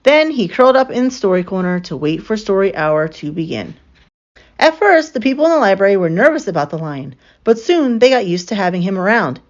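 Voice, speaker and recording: A woman narrates a children's picture book with expression. Her voice sits at an average female pitch, and the recording sounds a little dull and lo-fi. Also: big pitch swings, brisk. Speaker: storyteller reading a picture book aloud.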